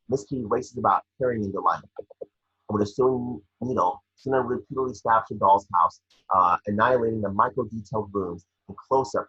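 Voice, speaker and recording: A man narrates stage directions in a very husky, fairly low voice, tripping on the odd word. The sound is dull and lo-fi, like an online call. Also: bright, forceful tone. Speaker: scene description narrator. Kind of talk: reading screenplay stage directions aloud.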